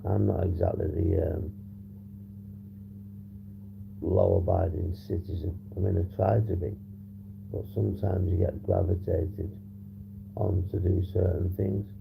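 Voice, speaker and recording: A man hosting a casual livestream, hoarse and husky, rambling and swearing as he reads the chat. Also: low-pitched, moderate pace, narrow, tinny audio. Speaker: livestream host. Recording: noisy background hum.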